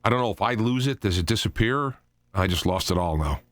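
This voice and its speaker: Low-pitched, animated male talk radio host. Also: dry recording. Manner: halting and rambling, repeats and restarts phrases for emphasis. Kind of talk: unscripted solo talk radio commentary.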